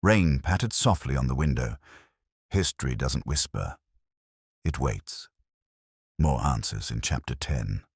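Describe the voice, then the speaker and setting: A man narrating a scripted detective mystery in a grave, deliberate, measured literary style that builds suspense. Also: very deep voice, expressive, vocal fry, husky, no echo, studio quality.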